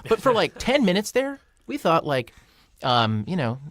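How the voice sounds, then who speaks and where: A man's voice: high voice, wildly swooping pitch, normal speed, a bit bright, dry recording. A man offering casual banter and commentary as a podcast panelist.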